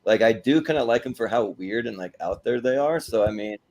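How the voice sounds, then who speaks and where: A man's voice: mid-range voice, expressive, brisk, a little mellow. A man hosting and chatting on a casual movie panel in an informal, joking way. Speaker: host and panelist.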